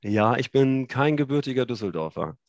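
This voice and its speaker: A man in his thirties from Hamburg with a northern German accent, chatting as a guest on a video call, relaxed and hesitant with many fillers. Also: mid-range voice, normal speed, dry recording, dull audio. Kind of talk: casual chat.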